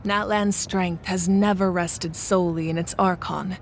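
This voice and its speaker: An actress playing a confident, composed warrior ruler in a video game, speaking with measured authority. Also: female voice, fairly low pitch, fairly even intonation, a little hiss or hum. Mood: resolute. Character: commanding, confident adult female ruler and warrior deity. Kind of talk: dramatic video game voice acting. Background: music.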